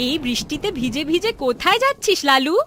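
A woman's voice: sits high, big pitch swings, brisk, noisy background. A woman voicing a caring, outspoken young village woman who advises like a protective elder sister in a cartoon. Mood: concerned. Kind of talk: cartoon story dialogue.

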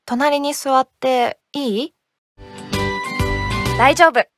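An actress playing a cheerful teenage girl team manager in a game, gently and kindly encouraging. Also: sits high, drawn-out words, music in the background. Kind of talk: Japanese game voice acting.

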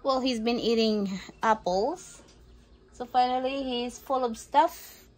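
Slow-paced middle-aged woman vlogger. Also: on the high side, low-quality recording. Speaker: family vlogger. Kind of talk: casual home tour vlog narration. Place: inside and just outside an RV trailer. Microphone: phone at arm's length.

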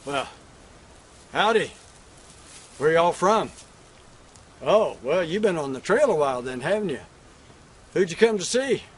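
A man's voice: fairly high pitch, moderate pace, breathy edge. A man playing a folksy frontier cowboy. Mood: hearty. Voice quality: folksy drawl, homespun talk. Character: folksy frontier cowboy, new father, flustered. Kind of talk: one-man stage monologue.

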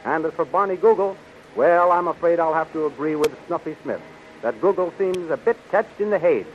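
A man's voice: a little high, brisk. A man with an American accent announcing a 1930s radio serial in a theatrical, declamatory scripted style. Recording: dull, noisy 1930s radio recording.

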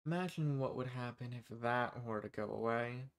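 A man voicing an arrogant, menacing archdemon in a dramatic audio roleplay, speaking in a condescending, theatrical, unhurried manner. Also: medium pitch, lightly acted. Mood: quietly threatening. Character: arrogant, menacing male archdemon who strikes bargains.

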